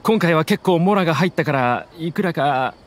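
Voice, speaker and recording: A man's voice: on the high side, animated, moderate pace, a little hiss or hum, lightly acted. An actor playing a nervous, evasive young male smuggler in a game dub, pleading and dodging blame. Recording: game audio with loud music behind.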